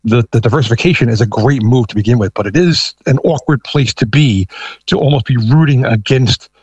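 A man's voice: medium pitch, brisk, a little mellow, husky, no room sound, muffled recording. Man with a thick New York accent. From United States, New York. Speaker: panel guest. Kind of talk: conversational political discussion.

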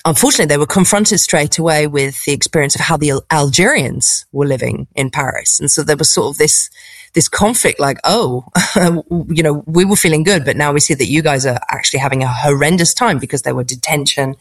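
A woman's voice: sits low, fairly quick, no room sound. A woman with a posh English RP accent answers interview questions conversationally, thinking aloud and searching for her words. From England. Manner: reflective, hesitant, searching for words. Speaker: interview guest, stand-up comedian.

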